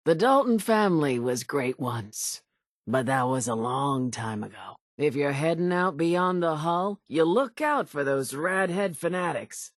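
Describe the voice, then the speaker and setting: Slow, husky, vengeful old island woman. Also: rumbling low, dry recording, clean studio sound, exaggerated voice. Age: older. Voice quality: husky, aged, weathered voice. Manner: deliberate, storytelling, folksy. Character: vengeful, folksy old islander woman, last of her family. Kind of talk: video game character dialogue.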